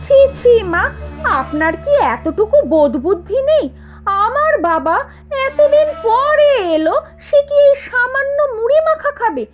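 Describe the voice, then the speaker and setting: A spoiled young wife from a rich family in a cartoon. Her voice is extremely high and swings in pitch as she scolds and complains with open scorn. The audio is dull, with clear music behind. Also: big pitch swings, measured pace, heavily acted. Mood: indignant. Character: spoiled, sharp-tongued rich young daughter-in-law. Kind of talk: Bengali cartoon voice acting.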